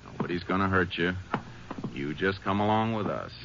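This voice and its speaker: Steady commanding lawman, deep male voice, radio western acting, muffled recording with clear noise. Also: moderate pace. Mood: firm coaxing. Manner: firm, coaxing, urgent. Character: steady, commanding Texas Ranger lawman, calm and coaxing.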